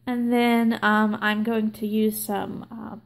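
A young woman hosting her own nail art channel, explaining a stamping tutorial slowly and with many ums. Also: medium pitch, level tone, creaky. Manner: slow, filler-heavy explaining with many ums. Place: indoors at a table, hands filmed from above.

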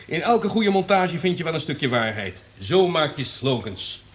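An actor in a Dutch radio play playing a smug, calculating, cynical radio network executive. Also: male voice, a little high, sing-song, measured pace. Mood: cynical, pleased. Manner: self-satisfied, calculating.

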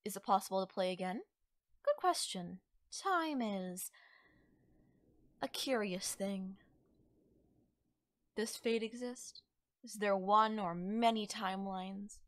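A woman voicing a playful, cryptic, teasing witch in an ASMR audio roleplay, coy and given to musing pauses. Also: medium pitch, no room sound, clean studio sound, natural voice. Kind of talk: ASMR audio roleplay, acted straight to the listener.

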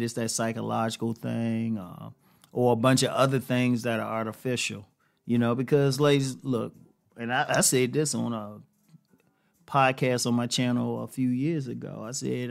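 Middle aged american guy giving casual advice, gravelly medium pitched voice, repeats himself to make the point. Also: fairly even intonation, normal speed. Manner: conversational, emphatic, repeats phrases for emphasis. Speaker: relationship advice host. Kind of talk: casual advice monologue to camera. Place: living room, indoors.

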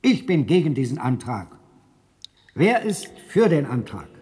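A pompous elder chairing a fairy-tale council in a radio play. His voice is fairly high and slow, with officious, indignant formality. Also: very animated, darkish tone.

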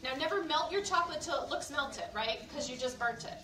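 A woman's voice: high-pitched, lively intonation, brisk, sharp tone. A woman giving a live kitchenware cooking demonstration to a group, chatty and instructive, often checking in with questions. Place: indoor room at a live event. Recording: roomy, distant mic, faint background noise.

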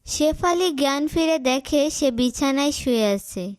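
A woman narrating a cartoon story in a slow, even storytelling manner. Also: sits high, background noise. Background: background music.

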